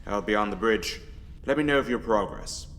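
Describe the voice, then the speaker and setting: A man playing an authoritative, fatherly starship captain in a sci-fi audio drama, calm and commanding. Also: lowish voice, normal speed, background noise, close to an everyday voice. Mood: calm reassuring.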